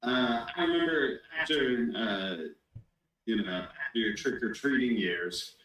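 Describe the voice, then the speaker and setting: A man co-hosting a casual chat over a video call, making brief relaxed remarks. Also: medium pitch, takes it slowly, a little hiss or hum. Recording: very echoey room.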